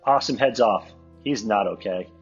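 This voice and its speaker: A man with a husky voice reading stage directions at a script table read. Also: on the low side, moderate pace, lo-fi sound, faint music behind.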